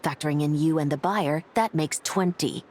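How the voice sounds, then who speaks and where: A woman playing a stern, confident young special-forces officer in a video game, crisp, commanding and matter-of-fact. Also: sits low, moderate pace, a little mellow. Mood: cool threat. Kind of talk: video game character dialogue.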